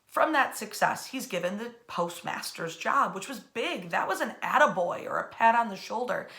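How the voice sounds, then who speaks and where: An American woman narrating history as a dramatic story, with emphatic, theatrical phrasing and deliberate pauses. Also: mid-range voice, lively intonation, bright, forceful tone.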